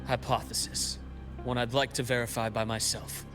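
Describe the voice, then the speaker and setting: Guy voicing a cool clinical doctor hero from a game, medium pitch, formal clipped orders, music under it. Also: little pitch movement, natural voice. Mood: calm, resolute. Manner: measured, formal, clipped commands. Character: composed, clinical male doctor fighter, calm and resolute. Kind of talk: video game voice acting.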